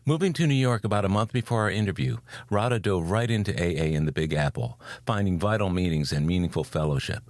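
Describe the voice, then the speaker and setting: Deep-voiced older male podcast host. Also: expressive, fast talker, few pauses, no room sound, studio quality. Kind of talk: scripted intro then casual interview.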